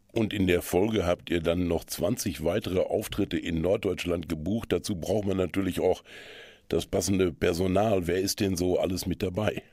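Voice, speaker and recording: Deep-voiced male radio presenter, dry studio sound. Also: darkish tone, dry recording. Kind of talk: scripted radio moderation.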